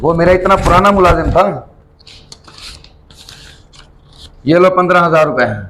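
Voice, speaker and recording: A kindly, commanding rich employer played by a male actor in a homemade moral drama. The voice is somewhat high and brisk, with a faint noisy ambience behind it. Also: fairly bright tone, close to an everyday voice. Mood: generous. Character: generous wealthy employer, trusting but firm. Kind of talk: amateur moral-story video skit acting.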